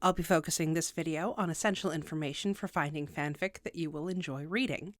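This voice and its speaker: A woman's voice: low voice, brisk, dry recording, clean studio sound. A woman hosting a video tutorial, reading scripted explainer narration in a precise, instructional way with wry asides.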